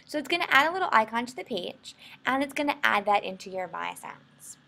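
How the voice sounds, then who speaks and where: American female instructor for tutorial narration, informal and explanatory, lively intonation with a creaky edge. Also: fairly high pitch, a little fast. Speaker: software instructor.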